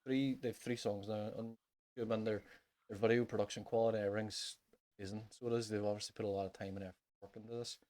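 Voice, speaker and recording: A man with a Northern Irish accent chatting casually as a music podcast host, in a halting way that circles back to stress his points. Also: on the low side, little pitch movement, a little mellow. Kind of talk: casual chat.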